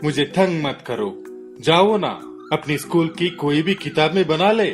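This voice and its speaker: A man's voice: fairly high pitch, a little slow, music in the background, lightly acted. A man playing a busy, irritated father in a cartoon story, brusque and dismissive.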